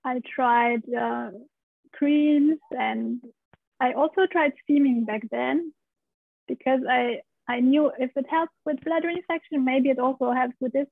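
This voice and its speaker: A woman's voice: high-pitched, a little slow, gravelly, dry recording. A woman in her thirties from Romania, telling her story openly in a video-call interview from her living room. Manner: open storyteller, laughs often, gestures while talking. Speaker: guest, pelvic steam practitioner. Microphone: video call, laptop mic. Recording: dry, tinny video-call audio.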